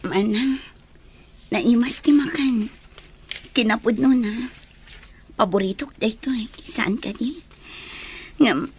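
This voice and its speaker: A woman's voice: on the high side, takes it slowly, long pauses, a little hiss or hum. An actress playing a troubled young woman caught in a love triangle, earnest and emotional in a broadcast radio drama. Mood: pleasant. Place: radio studio.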